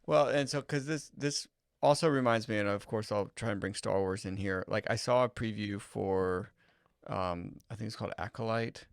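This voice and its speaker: A man's voice: fairly low pitch, normal speed, gravelly, clear, resonant tone, dry recording, clean studio sound. A man co-hosting a podcast, speaking casually and hesitantly, often repeating words as he searches for them. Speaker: podcast co-host. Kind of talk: casual chat.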